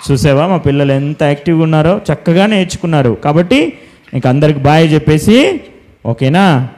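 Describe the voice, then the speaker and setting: Children's ministry leader: man, higher-than-average pitch, soft-edged tone, instructive preaching and prayer. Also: animated, a little fast, a little hiss or hum. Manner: instructing, repeats simple commands and questions. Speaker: children's Bible school leader.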